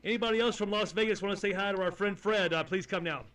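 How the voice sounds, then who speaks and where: A man with a Texan accent running a ham radio net, folksy and halting, with many fillers. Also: high voice, fairly bright tone. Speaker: net control host.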